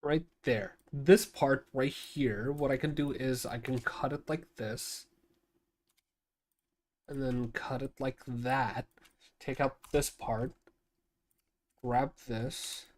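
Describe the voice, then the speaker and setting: A man with a nasal, mid-pitched voice chats loosely on a livestream. He rambles and restarts his sentences as he works things out out loud. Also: fairly even intonation, slow, long pauses. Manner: rambling, thinks aloud with many restarts. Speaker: streamer. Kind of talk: casual livestream talk while editing video.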